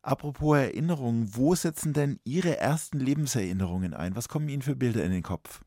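A man hosts a radio talk show in a studio, asking his guest polite, probing questions at a measured pace. His voice sits at a middle pitch. It is recorded dry, with no room sound around it. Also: lively intonation, warm tone, dry recording.

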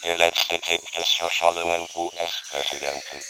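A man's voice: deep voice, flat delivery, normal speed, noisy background. A man giving scripted announcements of titles and reports.

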